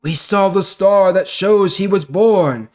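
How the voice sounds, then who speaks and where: A man's voice: high-pitched, takes it slowly, a little mellow, voice pushed up. A man reading aloud the words of eager, reverent wise men from the east, in a raised, emphatic voice.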